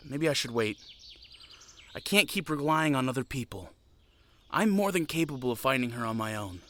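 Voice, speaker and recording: A man's voice: mid-range voice, expressive, normal speed, pauses often, a bit bright, slight background noise, natural voice. A man playing an earnest, hesitant young knight recruit in an audio drama. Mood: hesitant, doubtful. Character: eager, self-doubting young male knight recruit.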